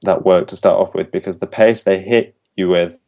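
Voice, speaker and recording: A man's voice: deep voice, steady tone, normal speed, darkish tone. A young man, a university language student, answering interview questions thoughtfully and earnestly, with some ums and restarts. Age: young adult.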